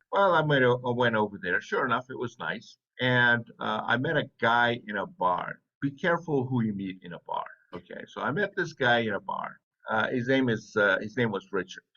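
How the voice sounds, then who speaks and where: Muffled, lowish man telling his story. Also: bright, forceful tone. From Saudi Arabia. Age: 50s. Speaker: interview guest, business owner. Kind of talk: casual personal storytelling. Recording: dull lo-fi audio.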